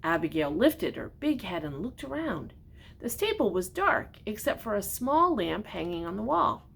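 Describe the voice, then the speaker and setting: Husky older woman reading to children. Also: medium pitch, moderate pace. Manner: gentle, expressive, addresses young listeners directly with questions. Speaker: storyteller reading picture books to children. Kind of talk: children's storybook read-aloud with asides to young listeners.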